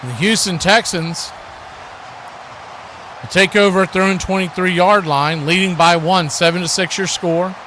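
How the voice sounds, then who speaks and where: A man's voice: sits high, steady tone, measured pace, pushed and bright, slight background noise. A man doing live radio-style football play-by-play as the announcer.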